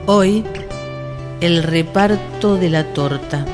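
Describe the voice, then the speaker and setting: A woman's voice: lowish voice, takes it slowly. A woman reading scripted announcements for a programme. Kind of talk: scripted narration. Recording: loud guitar music under voice. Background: loud plucked guitar music.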